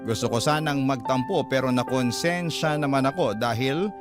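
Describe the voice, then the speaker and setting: Male Filipino narrator for dramatic letter readings, mid-pitched, prominent music underneath. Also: moderate pace. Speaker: story narrator.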